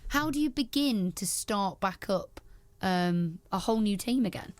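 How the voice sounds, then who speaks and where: A woman's voice: mid-range voice, expressive, a little slow. A woman presenting a local radio sports interview, encouraging and enthusiastic as she talks with her guests.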